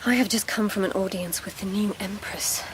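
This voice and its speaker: A woman's voice: medium pitch, moderate pace, a little hiss or hum, lightly acted, low-quality recording. An actress playing a wry court lady in a radio drama, conversational and pointed.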